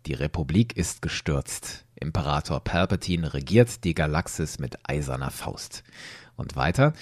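A man narrating a dramatic story as host, expressive and addressing listeners directly. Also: very deep voice, no room sound, studio quality.

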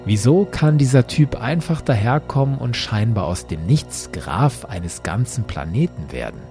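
A man's voice: medium pitch, sing-song, measured pace, music in the background. A man hosting a podcast, giving structured, explanatory commentary in a prepared narration style. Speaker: podcast host.

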